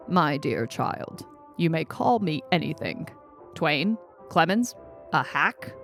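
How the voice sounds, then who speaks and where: A woman voicing a wry, pompous old male author in a story, with formal, ornate and deliberate phrasing. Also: sits low, expressive, soft background music, full character voice. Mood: grandly musing. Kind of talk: storybook character reading.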